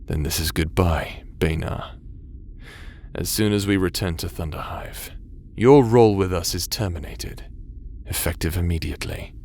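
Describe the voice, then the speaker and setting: Man acting a low-voiced, cold space fleet captain. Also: moderate pace, a little mellow, a little hiss or hum. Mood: cold, dismissive. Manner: cold, clipped, formal. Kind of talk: audio drama acting.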